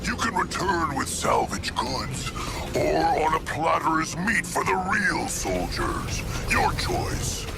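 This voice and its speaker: A man's voice: on the high side, sing-song, takes it slowly, gravelly, hardly any pauses, exaggerated voice. A man voicing a stern, domineering alien brute warlord in a video game, menacing and formal, with music behind him. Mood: gruff, threatening. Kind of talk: video game character acting.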